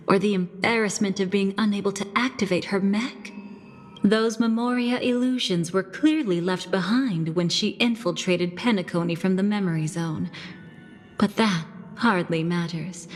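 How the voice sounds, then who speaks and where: A woman voicing a poised, knowing female character who narrates a video game story in a measured storytelling manner. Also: medium pitch, close to an everyday voice. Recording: long reverb, music behind the voice. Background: game music.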